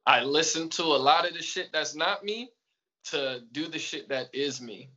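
A man's voice: fairly high pitch, moderate pace, pushed and bright, muffled recording. A man from Atlanta with a Southern accent, a rapper talking as a podcast guest in a laid-back way. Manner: laid-back, repeats yes often. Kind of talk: casual interview chat.

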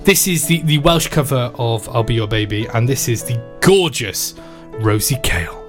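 A man's voice: mid-range voice, animated, moderate pace, over loud music. A man presenting a radio show, chatting casually and teasing his guest.

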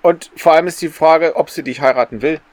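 A man speaks in a fairly high register for a male voice. He chats informally as a podcast co-host, discussing things in an everyday conversational way. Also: a little fast, darkish tone, dry recording. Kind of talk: casual chat and discussion.

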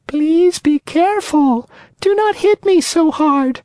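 A man voicing a small childlike voice coming from inside a piece of firewood in a storybook, squeaky and pleading. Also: slow, a little mellow, no echo. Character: tiny childlike voice inside a log.

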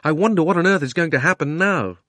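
A man's voice: on the high side, quick pace, a bit bright, no echo, voice pushed up, close to an everyday voice. A man voicing a plucky, easily astonished schoolboy in a storybook, boyish and exclamatory. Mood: alarmed wonder.

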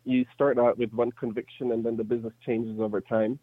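A man's voice: mid-range voice, level tone, brisk, dry recording, narrow, tinny audio. A man in his thirties with a slight German accent, telling his story casually as an interview guest. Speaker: interview guest, startup entrepreneur.

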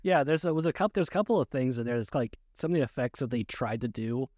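A man's voice: medium pitch, expressive, fast talker, darkish tone, no echo, clean studio sound. A jocular man co-hosting a casual movie chat, rambling along and often amused. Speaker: podcast co-host.

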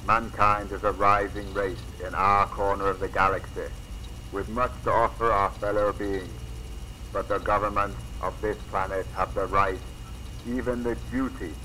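A man plays a solemn with a low voice, delivering a formal political address. His delivery is measured and oratorical, as in an audio drama, over clear background noise. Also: sharp tone, rough recording. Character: grave, formal British Prime Minister giving a presentation. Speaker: prime minister in an audio drama.

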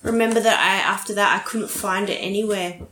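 A woman's voice: medium pitch, steady tone, brisk, a bit bright. A woman in her thirties chatting informally as a podcast co-host, talking like an enthusiastic fan. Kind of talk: casual chat. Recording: echoey room, noisy background, clicks.

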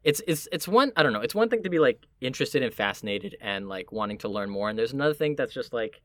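A man from Miami with a Puerto Rican family, talking casually and reflectively, often halting as he searches for words. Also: medium pitch, big pitch swings, no echo.

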